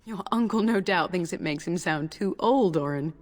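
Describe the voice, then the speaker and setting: A woman's voice: on the low side, normal speed, lightly acted. A woman playing a dry-witted, scolding young noble wife and mother in a video game. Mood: chiding.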